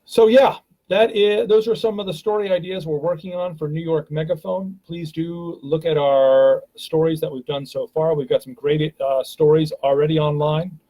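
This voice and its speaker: A man's voice: fairly high pitch, fairly even intonation, normal speed, warm tone, rough recording. A man hosting a live stream, talking casually and rambling with many fillers and restarts, from a home webcam.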